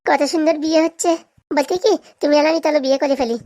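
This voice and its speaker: A performer voicing an excitable, childlike villager in a comic cartoon, squeaky and with a rural Bengali accent. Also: quick pace. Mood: chatty, puzzled. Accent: Bengali, rural dialect.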